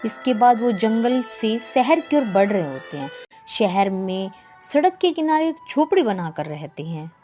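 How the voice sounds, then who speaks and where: Female storybook narrator: lively intonation, high register, scripted delivery over a faint music bed.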